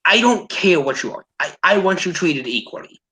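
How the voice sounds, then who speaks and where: A man's voice: sits high, very animated, normal speed, bright, forceful tone, rough recording. A man giving blunt opinions in a casual discussion, repeating his points for emphasis. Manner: blunt, sweary, emphatic repetition.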